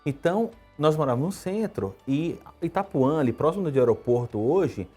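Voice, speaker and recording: A man around fifty from Salvador, a guest telling his life story in a relaxed interview. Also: breathy edge, faint music behind. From Brazil (Salvador, Bahia). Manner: quick, storytelling, lively pitch swings. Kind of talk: conversational interview answers.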